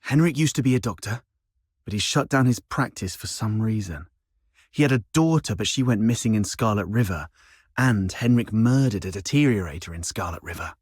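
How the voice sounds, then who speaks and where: An actor playing a worldly, streetwise schemer in a video game cutscene, with a wry, conversational way of talking. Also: male voice, fairly low pitch, animated, fairly quick, slightly rough, dry recording, studio quality. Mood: musing, serious.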